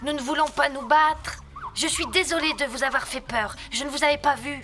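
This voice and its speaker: A woman's voice: sits high, steady tone, a little fast, sharp tone. A woman voicing a kind, polite, peace-loving young lion cub in a French children's cartoon. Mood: puzzled, apologetic. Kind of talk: dubbed children's cartoon acting. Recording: music under the voice.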